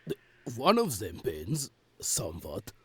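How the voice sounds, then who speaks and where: A gruff, grumbling burly older man. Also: deep voice, huge pitch swings, slow, fairly bright tone, exaggerated voice. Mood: grumpy, dismissive. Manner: curt, grumbling, broken English. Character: gruff burly one-eyed older male mechanic, irritable. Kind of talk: fan dub comic voice acting.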